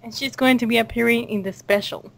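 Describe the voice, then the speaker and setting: A woman's voice: fairly high pitch, steady tone, brisk, gravelly, a little hiss or hum, low-quality recording. A woman hosting a casual group interview with fellow voice actors, reading out questions and chatting. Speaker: interview host and voice cast member.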